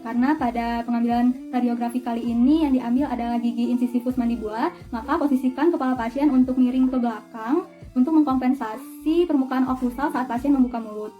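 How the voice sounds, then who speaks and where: A woman's voice: on the high side, fairly even intonation, quick pace, mellow, faint music behind. A young woman giving an instructional demonstration in an orderly, polite, step-by-step manner. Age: young adult. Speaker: dental student demonstrating a radiography procedure.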